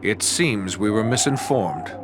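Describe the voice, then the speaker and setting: An actor playing a sober, courteous warrior in a fantasy video game, speaking in a formal, dry way. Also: male voice, on the low side, lively intonation, a little slow, music in the background. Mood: dry, doubtful. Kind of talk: video game cutscene acting.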